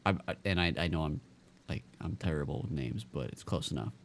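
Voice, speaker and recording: A man's voice: extremely low pitch, expressive, fairly quick, vocal fry, a little hiss or hum. A man casually commenting while he streams a video game, rambling with many stammers and fillers.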